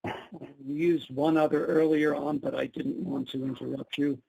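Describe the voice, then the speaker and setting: Gravelly Brooklyn man with a husky voice. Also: medium pitch, level tone, a little slow, dark tone, low-quality recording. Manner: explains slides step by step, asks for plain terms. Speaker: petitioner presenting slides. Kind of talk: public meeting presentation. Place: online public meeting.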